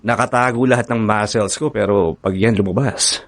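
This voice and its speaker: A man's voice: lowish voice, steady tone, moderate pace, dry recording. A man with a Filipino accent playing a playful, doting young boyfriend in a conversational audio drama. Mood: contented. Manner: teasing, sweet, earnest. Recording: background music under dialogue.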